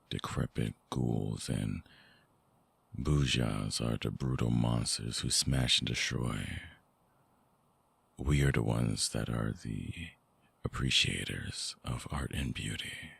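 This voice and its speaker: A man playing a lovelorn, seductive vampire ex in an intimate ASMR audio drama, speaking softly and haltingly with a very deep, gravelly, husky voice. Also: no echo, heavily acted. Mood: soft, alluring. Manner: slow, halting, softly explanatory.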